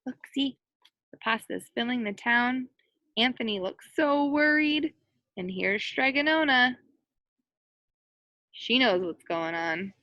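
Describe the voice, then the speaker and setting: A woman with a fairly high voice reads a children's storybook aloud in a close, dry sound. She is animated and breaks off to point things out to her young listeners, like a teacher with a class. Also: slow pace, long pauses, dry recording, lo-fi sound. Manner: animated, acts out quoted lines and points out pictures. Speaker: elementary school teacher reading aloud to children. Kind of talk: expressive picture-book read-aloud with asides to young listeners.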